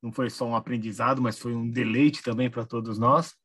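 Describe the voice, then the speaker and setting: A man's voice: mid-range voice, steady tone, fairly quick, fairly bright tone, breathy edge. A Brazilian man speaking casually as a panelist, giving closing remarks and recommendations. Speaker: panelist on a live online discussion show.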